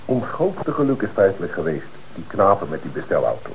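A man's voice: low voice, big pitch swings, a little fast, a little hiss or hum, low-quality recording. An actor playing a blunt, sarcastic male police detective who presses hard in a Dutch radio play. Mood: satisfied.

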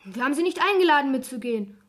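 A woman's voice: high-pitched, lively intonation, rapid-fire. A female performer playing a sullen twelve-year-old schoolgirl in a German radio crime drama, blunt, pert and evasive. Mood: defiant, cheeky.

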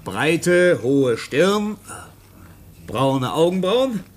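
Gruff police sergeant, lively intonation. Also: male voice, a little high, drawn-out words, full character voice. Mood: officious dictation. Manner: barking, interrogating, insulting his subordinate. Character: gruff, impatient police sergeant, bossy and dismissive. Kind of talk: radio play acting.

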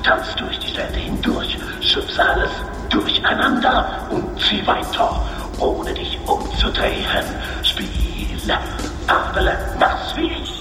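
An actor playing a playful, restless wind spirit in a radio play, breathy and urging, through heavy reverb. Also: male voice, very high-pitched, huge pitch swings, slow, exaggerated voice. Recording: cavernous reverb, distant, music behind.

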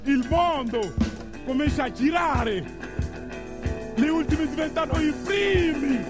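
A male stage storyteller speaks in a strikingly high voice at a slow, deliberate pace. His delivery is declamatory and theatrical, sometimes close to singing. A live band with drums and strings plays prominently behind him. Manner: declamatory, short deliberate phrases. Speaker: stage narrator. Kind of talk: theatrical storytelling, half-sung at times. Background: live band music with drums and strings.

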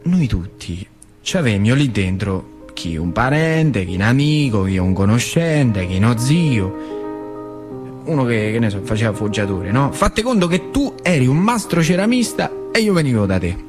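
A man's voice: medium pitch, very animated, measured pace. An old working-class man with an earthy central Italian accent, telling stories of his youth on stage. He talks conversationally and repeats phrases for emphasis, with music around the voice. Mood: earnest, wry. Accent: central Italian, northern Lazio. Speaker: stage actor performing a monologue. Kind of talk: theatrical first-person storytelling in colloquial dialect.

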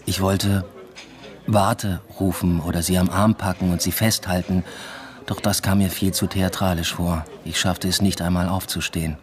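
A man's voice: deep voice, flat delivery, moderate pace, natural voice. An actor playing an introspective young doctoral student who narrates his own story with restraint in a literary radio drama. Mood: reflective.

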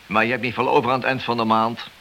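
An actor playing a hard-nosed, cynical police detective in a Dutch radio play, blunt, needling and sardonic in manner. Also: male voice, mid-range voice, little pitch movement, quick pace, pushed and bright. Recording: noisy, hissy old radio drama recording.

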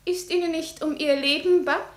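A woman's voice: high-pitched, fairly even intonation, normal speed, lightly acted. A woman playing a proud, cold princess in 1950s German radio drama, speaking formally in an imperious, challenging manner. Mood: imperious, threatening. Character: proud, haughty, cold princess who executes her suitors. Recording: roomy 1950s studio radio recording.

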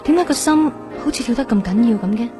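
A woman's voice: a little high, expressive, quick pace, music in the background, natural voice. An actress playing an earnest, flustered young woman in a radio drama, speaking Hong Kong Cantonese in a polite, insistent, talkative way. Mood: puzzled, wondering.

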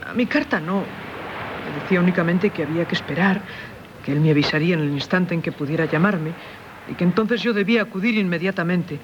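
A woman's voice: fairly low pitch, fairly quick, natural voice. Radio drama, Castilian Spanish: actress as anguished married woman, grave confessional delivery, faint noise on a dull old recording. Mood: haunted, narrating. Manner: solemn, confessional, theatrical delivery. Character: tormented married woman haunted by a former lover. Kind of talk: 1970s radio theatre acting.

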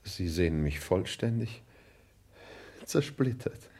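Spoiled dandy son, lowish husky voice swooping about, affected, old radio drama. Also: male voice, animated, slow, mellow, full character voice. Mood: languid. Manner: affected, blasé, drops foreign phrases. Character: foppish, idle heir son, self-absorbed dandy. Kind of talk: 1960s German radio play acting.

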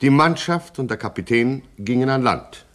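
An actor as the formal, dryly ironic narrator of a satirical gangster radio play. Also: male voice, medium pitch, expressive, moderate pace, a bit bright, lightly acted. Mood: dry, measured.

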